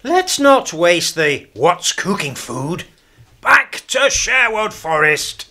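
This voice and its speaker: An amateur actor playing a defiant, jaunty heroic outlaw, declaiming in a comic radio play. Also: male voice, high-pitched, big pitch swings, slow pace, pushed and bright, full character voice.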